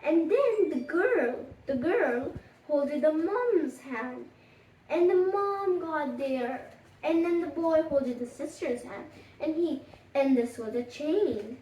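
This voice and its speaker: A storyteller improvising a bedtime story in a halting, childlike way, repeating words along the way. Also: high-pitched, lively intonation, a little mellow. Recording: echoey room, distant mic, faint noise, clicks.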